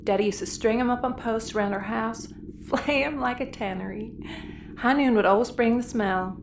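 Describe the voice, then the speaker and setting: A woman's voice: a little high, normal speed, gravelly. In a roomy sci-fi audio comedy scene over noisy ambience, a backwoods cannibal woman threatens in a folksy Southern drawl. She is matter-of-fact and cheerfully cruel. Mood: folksy menace.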